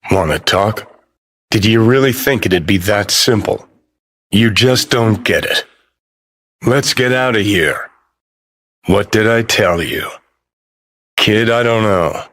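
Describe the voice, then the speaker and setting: A man's voice: on the low side, slow pace, long pauses, a bit bright. A man acting a brash, cynical rebel rocker ghost in a video game, curt, sardonic and profane, with some noise behind him. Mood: curt, cool.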